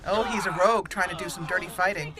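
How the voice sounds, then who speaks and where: A woman's voice: on the low side, quick pace, over a noisy crowd, low-quality recording. A woman giving casual, chatty commentary as she plays and roleplays a game, talking to the characters. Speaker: host of a roleplay game playthrough.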